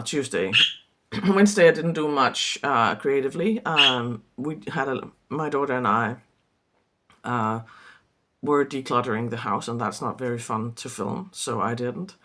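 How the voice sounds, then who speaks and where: Hoarse, croaky woman chatting to camera. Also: rumbling low, fairly bright tone. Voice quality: itchy, scratchy throat. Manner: chatty and self-deprecating. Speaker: artist. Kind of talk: casual vlog chat to camera. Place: in her home art studio.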